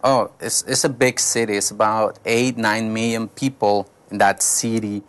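A man, a pastor, telling his life story in a studio interview, halting and searching for words. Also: on the low side, level tone. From Colombia. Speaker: pastor, guest. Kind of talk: personal testimony interview.

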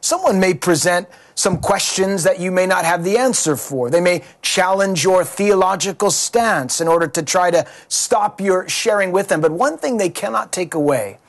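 A man's voice: high voice, lively intonation, moderate pace, hardly any pauses. Earnest preacherly male host. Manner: earnest, preacherly, leading questions. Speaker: pastor, show host. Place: studio.

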